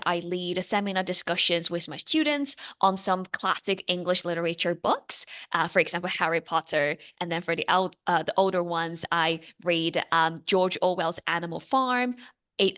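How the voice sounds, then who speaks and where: A young Chinese-accented woman speaks in a somewhat low voice, earnest and steady. She is a guest answering questions and keeps pausing on fillers and restarting phrases. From China. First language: Mandarin. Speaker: guest tutor. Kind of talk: interview answers.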